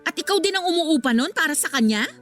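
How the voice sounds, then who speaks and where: A woman's voice: high-pitched, expressive, fast, fairly bright tone, faint music behind. A woman acting as an indignant, sarcastic and demanding mistress in a Filipino radio drama.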